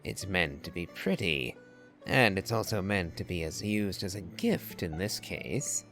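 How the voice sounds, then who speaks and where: Low, slow-talking man musing over gameplay. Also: expressive, a bit bright, soft background music. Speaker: game player reading along and commenting. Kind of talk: casual playthrough commentary.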